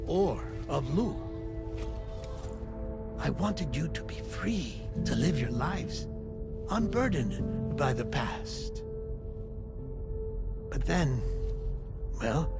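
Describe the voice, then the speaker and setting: Slow, theatrical, creaky-voiced confidant. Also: male voice, a little high, heavily acted. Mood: fond, confessional. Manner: theatrical, drawn-out, deliberate phrasing. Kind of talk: video game cutscene acting. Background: music.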